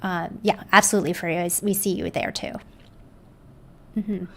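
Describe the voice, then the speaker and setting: A woman's voice: fairly low pitch, fairly even intonation, moderate pace, creaky, fairly bright tone. Conversational woman hosting a live panel. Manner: conversational, affirming, frequent "um" and "mm-hmm". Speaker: host. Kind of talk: livestream panel discussion.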